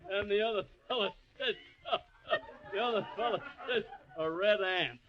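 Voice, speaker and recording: Slow, high-voiced giggly yokel joker. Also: male voice. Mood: giggly, self-amused. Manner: giggles constantly at his own jokes. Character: folksy, self-amused corny joker, male neighbour. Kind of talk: 1930s radio comedy acting. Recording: noisy, dull vintage radio recording.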